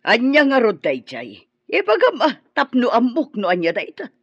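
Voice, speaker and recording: A woman's voice: a little high, wildly swooping pitch, normal speed, a bit bright, husky, dry recording, highs cut off, full character voice. An actress playing a sceptical, blunt, inquisitive older woman in an Ilocano radio drama. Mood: puzzled, dismissive.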